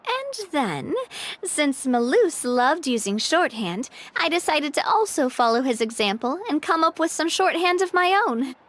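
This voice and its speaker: Young female leader, high voice, lively melodic range, earnest, breezy, friendly, video game character, music behind. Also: unhurried, heavily acted. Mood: breezy, self-mocking.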